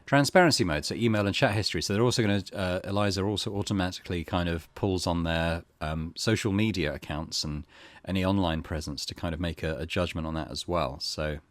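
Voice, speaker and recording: A man hosting a gaming let's play, casually reading on-screen text and commenting in a musing, low-key way. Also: a little fast, no echo. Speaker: gaming channel host.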